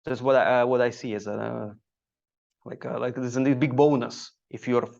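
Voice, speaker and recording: A man from Slovakia with a Slovak accent, speaking English as a second language, chatting haltingly as a guest comedian on a podcast. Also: medium pitch, flat delivery, vocal fry, a little mellow. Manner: halting, searching for words. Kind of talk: casual podcast conversation. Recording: dull lo-fi audio.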